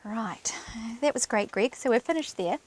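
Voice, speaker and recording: A woman's voice: on the high side, expressive, moderate pace. A woman audiologist explaining things to a client in an informal, reassuring clinical way.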